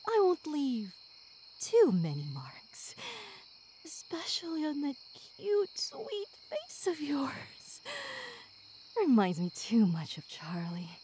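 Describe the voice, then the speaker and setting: A woman playing a sadistic, obsessive demon woman in an ASMR roleplay, gloating and possessive, with menacing breathy whispers that can break into shouts. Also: high-pitched, huge pitch swings, slow, slight background noise, exaggerated voice. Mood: mocking, teasing.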